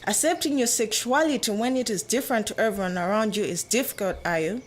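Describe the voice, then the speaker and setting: A woman's voice: medium pitch, fairly quick, close to an everyday voice. A woman playing an understanding, sincere sister in a radio drama. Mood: supportive. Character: understanding, supportive adult sister, sincere and reflective.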